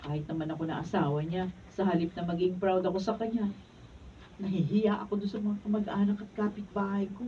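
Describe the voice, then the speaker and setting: A woman's voice: medium pitch, little pitch movement, fairly quick, distant mic, highs cut off, a little hiss or hum, natural voice. A woman with a Filipino accent playing the worried, scolding wife of a reckless policeman in a radio drama. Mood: worried, reproachful. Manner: scolding, pleading.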